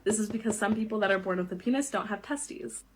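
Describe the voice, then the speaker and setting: A woman in a social media clip calmly explaining language advice in an instructive way. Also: medium pitch, fast, slight background noise.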